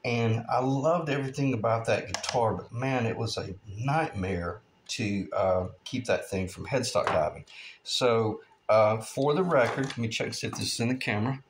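A man in his fifties giving a relaxed, rambling guitar-building tutorial at his workshop bench, with many "uh" fillers. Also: mid-range voice, a little slow, noisy background. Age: 50s.

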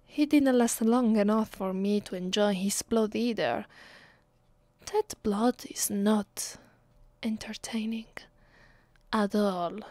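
A woman with an Italian accent voicing a cruel, bored, centuries-old vampire mistress in an ASMR roleplay, deliberate and condescending. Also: medium pitch, darkish tone, dry recording, lightly acted. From Italy. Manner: slow, deliberate, menacing, condescending.

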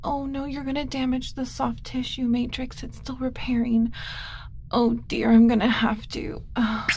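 Kind alien mother, played by a woman, flustered but tender; ASMR roleplay, soft and mellow, unhurried, slipping into whispers. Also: sits high, flat delivery, a little hiss or hum. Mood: flustered, worried. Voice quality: soft, often dropping to a whisper. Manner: gentle, cooing, hesitant muttering to herself.

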